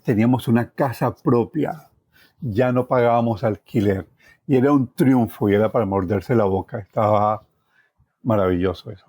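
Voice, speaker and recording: Low-voiced Colombian man telling his story. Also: normal speed, dark tone. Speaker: interviewee recounting his life. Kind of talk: personal oral storytelling.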